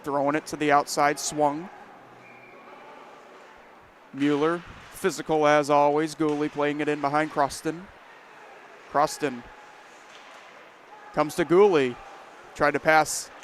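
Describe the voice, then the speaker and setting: Male play-by-play caller, fairly high voice, brisk live delivery, faint noise behind. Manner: brisk, names each pass as it happens. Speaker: play-by-play announcer. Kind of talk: live hockey commentary.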